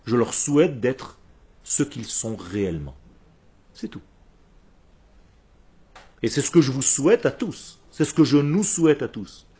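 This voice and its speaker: Male religious teacher, Hebrew speaker. Husky voice, mid pitch, didactic and emphatic delivery in short phrases. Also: expressive, unhurried, pauses often, mellow. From Israel. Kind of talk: religious lecture to a group.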